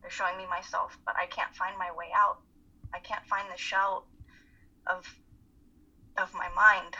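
A woman playing an anxious, self-critical college student in a play reading, husky and hesitant. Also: on the low side, level tone, a little slow, sharp tone, dull audio, heavily acted. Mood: anguished confiding. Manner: hesitant, self-conscious confiding. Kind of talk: dramatic reading of a stage play.

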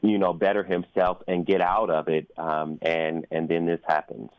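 Deep-voiced, reflective American man in interview. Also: little pitch movement, moderate pace, clean tone, no echo, muffled recording. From United States. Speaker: opera singer and interview guest. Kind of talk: conversational radio interview.

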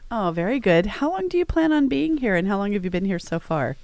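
A woman's voice: medium pitch, very animated, brisk, a little mellow, dry recording. A woman hosting a studio interview, who welcomes her guests and prompts them with friendly questions. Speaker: interview host.

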